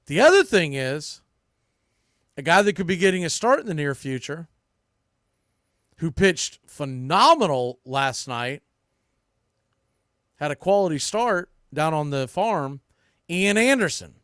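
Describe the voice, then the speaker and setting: A man with a slightly high-set voice talks through baseball as a podcast host. He speaks off the cuff in a conversational way, often revising himself mid-thought. The sound is dry, with very little room around it. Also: big pitch swings, measured pace, pauses often, a bit bright, no room sound. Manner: conversational, thinking aloud with self-corrections. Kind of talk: sports preview commentary, off the cuff.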